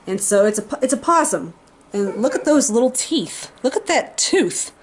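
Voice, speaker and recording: A woman chatting casually in a home vlog, explaining things in a relaxed, offhand way. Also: a little high, normal speed. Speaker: vlogger. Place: house, indoors.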